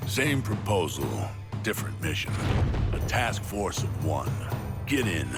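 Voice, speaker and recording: An actor playing a hardened, world-weary middle-aged mercenary narrating dryly in a video game, over loud music. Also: male voice, low voice, big pitch swings, a little slow. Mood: wry. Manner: dry, reflective narration.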